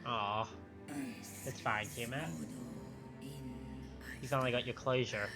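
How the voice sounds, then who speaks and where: A man's voice: medium pitch, fairly even intonation, slow pace. A man giving casual live commentary as a game streamer. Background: faint game music.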